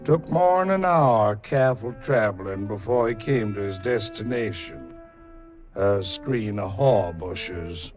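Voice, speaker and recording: A man's voice: fairly low pitch, lively intonation, unhurried, faint music behind. A man with an American accent giving intense, theatrical scripted narration in a 1940s radio drama style. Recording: dull old 1940s radio recording.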